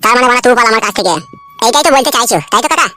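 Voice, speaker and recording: A man's voice: extremely high pitch, racing, pushed and bright, soft background music. A man voicing a heartbroken, naive young nephew in a comedy cartoon, plaintive and crude, with a rural West Bengal accent. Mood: hurt.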